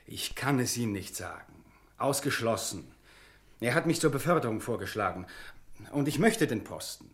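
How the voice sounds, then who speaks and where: A man's voice: mid-range voice, animated, moderate pace, natural voice. An actor playing an anxious, defensive, ambitious male bank employee in a radio play, insistent and suspicious. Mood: desperate.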